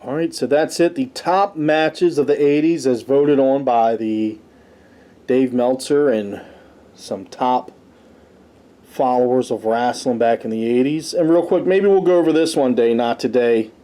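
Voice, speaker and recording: A man's voice: mid-range voice, a little slow, warm tone. A man hosting a review show, casually reading out lists and commenting on them in an informal way. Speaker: host of a wrestling review show.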